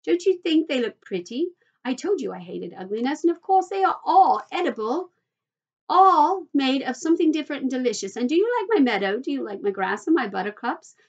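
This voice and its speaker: A woman's voice: sits high, animated, brisk, highs cut off. A woman voicing an exuberant, boastful male factory owner from a children's storybook, repeating phrases for emphasis. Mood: proud, eager.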